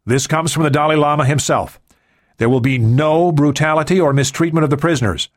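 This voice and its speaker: A man's voice: a little high, a little fast, voice pushed up, natural voice. A man voicing a curt male mercenary commander who gives clipped, authoritative orders. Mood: commanding.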